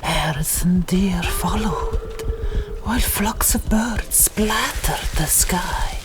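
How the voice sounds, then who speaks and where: A woman narrating an audiobook in a whispered ASMR style, with deliberate, dramatic storytelling. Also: mid-range voice, over loud music.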